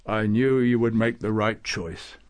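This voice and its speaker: A man's voice: lowish voice, steady tone, unhurried, natural voice. A man voicing a blunt, argumentative saint and king in a storybook, matter-of-fact in manner. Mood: hearty. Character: blunt, contrary male saint, a wise king. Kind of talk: storybook character voice.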